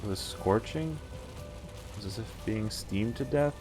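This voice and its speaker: Male game streamer, lowish voice, reads story text with offhand reactions, light background music. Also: lively intonation, unhurried. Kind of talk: live visual novel playthrough, reading text aloud with casual reactions.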